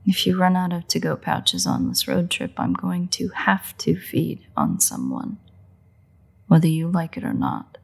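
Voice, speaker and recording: A woman's voice: sits low, wildly swooping pitch, a little slow, gravelly, breathy edge, exaggerated voice. A woman voicing a playful, cocky vampire in an intimate audio roleplay, drawling and teasing with wry sighs. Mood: blunt, tired.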